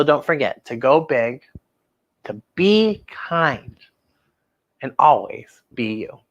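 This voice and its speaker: Female children's storytime host: very lively pitch, expressive read-aloud, exclaims and addresses young listeners directly. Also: muffled recording.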